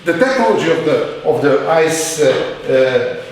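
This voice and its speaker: A man lecturing in halting English with a Hungarian accent, with many fillers and restarts. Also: on the high side, expressive, slight background noise. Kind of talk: university lecture. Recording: very echoey hall, mic far off.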